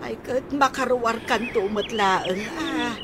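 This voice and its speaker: A woman's voice: fairly high pitch, animated, takes it slowly, background noise. A woman with an Ilocano accent playing an elderly mother who scolds and consoles in a radio comedy drama. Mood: consoling, admonishing.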